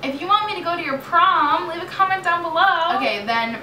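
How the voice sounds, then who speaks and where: A woman's voice: extremely high pitch, a little fast. Chatty young American woman, echoey distant recording. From New York State, United States. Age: late teens. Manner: animated, chatty, dramatizes remembered exchanges. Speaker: vlogger. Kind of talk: casual storytime vlog chat. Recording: roomy echo, distant mic, clearly noisy.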